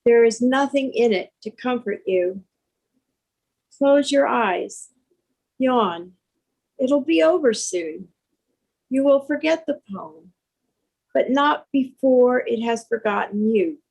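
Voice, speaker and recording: Woman reading poetry, bit high pitched, slow, short careful phrases. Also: animated, vocal fry, darkish tone, poor audio. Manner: deliberate, short separated phrases. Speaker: poetry reader. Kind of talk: poetry recitation.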